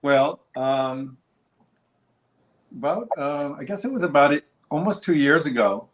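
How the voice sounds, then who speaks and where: A man casually introducing a friend on a livestream, speaking in a relaxed, slightly hesitant way. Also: a little high, unhurried. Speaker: video creator introducing a guest. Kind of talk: casual interview chat.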